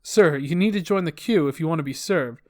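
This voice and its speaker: A man's voice: fairly high pitch, fairly quick, darkish tone, natural voice. A man voicing a courteous but firm male shop security guard in a narrated story. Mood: polite, firm.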